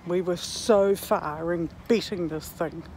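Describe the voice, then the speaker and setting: A woman in her late sixties talks slowly and reflectively, musing aloud with halting pauses. She is vlogging on a walk outdoors, with faint ambient and vehicle noise behind her. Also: fairly low pitch. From Netherlands and New Zealand. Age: 60s. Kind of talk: reflective walking vlog monologue. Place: outdoors, walking in a park.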